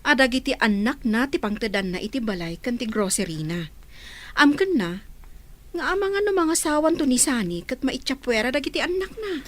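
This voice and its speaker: A woman's voice: sits high, sing-song, moderate pace, fairly bright tone. A woman playing a concerned, caring guardian figure in an Ilocano radio drama. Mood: worried. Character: concerned, caring woman looking after orphaned children.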